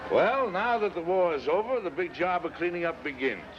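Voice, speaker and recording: A man narrates an old newsreel in a wry announcer's manner. His voice sits a little high. The lo-fi archive sound is dull, with music playing clearly behind him.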